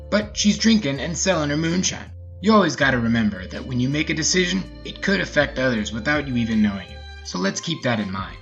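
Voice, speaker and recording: A man's voice: mid-range voice, fairly bright tone, muffled recording. A man hosting a story podcast, speaking as an informal, easygoing storyteller. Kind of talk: story narration and casual chatty commentary.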